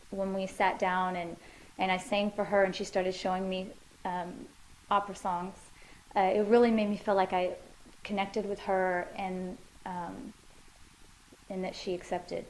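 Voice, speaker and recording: A woman's voice: mid-range voice, a little hiss or hum, poor audio. A woman musician answering interview questions in her living room, thoughtful and loose, with many fillers and restarts.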